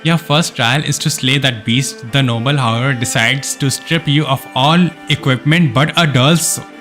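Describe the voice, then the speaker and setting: A man's voice: mid-range voice, normal speed, a bit bright. A man with a mid-range voice is streaming a game. He reads the story text aloud, then breaks off into casual, chatty reactions. Music plays faintly behind him.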